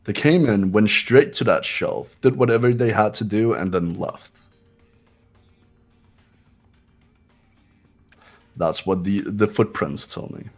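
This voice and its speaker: A man's voice: on the low side, moderate pace, soft background music. A man playing a condescending young male genius in an amateur game dub, smug, commanding and formal in his delivery. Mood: analytical, cool. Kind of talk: amateur voice acting in a game playthrough.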